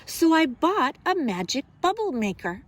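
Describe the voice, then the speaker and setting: Woman, kindergarten teacher, slightly high pitch, very unhurried, expressive, simple wording for small children. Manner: deliberate, expressive, speaks simply as to young children. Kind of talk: children's storybook read-aloud and simple instruction.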